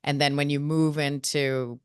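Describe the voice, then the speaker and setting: A woman from India, a guest on a marketing interview, telling her career story in a lively, self-mocking way. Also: deep voice, measured pace, clean tone, no echo, clean studio sound. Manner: lively, self-mocking, quotes people in voices. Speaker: guest, marketing agency co-founder.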